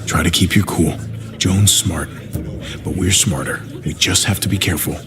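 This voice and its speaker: A man's voice: deep voice, moderate pace, music in the background, lightly acted. An actor playing a wary, emotional male survivor in a video game drama. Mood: cautious, hushed.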